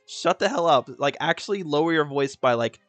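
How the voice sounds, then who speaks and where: A streamer with a mid-pitched voice comments casually on a game. The talk is slangy, blunt and joking. The sound is close and dry, with game music underneath. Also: lively intonation, fairly quick, fairly bright tone, no echo. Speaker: game streamer reacting to a story quest. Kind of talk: casual live gameplay commentary.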